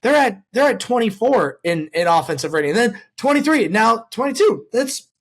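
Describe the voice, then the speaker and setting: A man's voice: extremely high pitch, very animated, normal speed, a bit bright. A man hosting a casual sports podcast, talking with a fan's enthusiasm.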